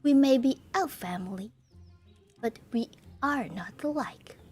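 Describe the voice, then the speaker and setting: A woman reading aloud as a defiant young heroine, speaking low and cold in a visual novel scene. Her voice stays high and light even when she is being cutting, and soft music plays faintly underneath. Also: unhurried.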